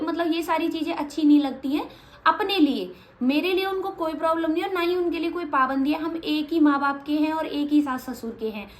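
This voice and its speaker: A high-pitched woman, speaking plainly and a little bluntly as she answers her viewers. Her voice rings in an echoey room. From Haryana, India. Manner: frank, matter-of-fact, a little blunt. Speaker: vlogger. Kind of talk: casual Q&A vlog.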